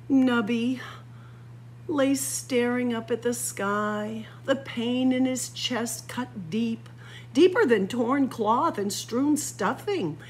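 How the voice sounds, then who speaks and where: A woman reading a children's picture book aloud expressively, with dramatic pauses and drawn-out emphasis. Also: a little high, a little hiss or hum. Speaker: library story-time reader. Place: library room with bookshelves.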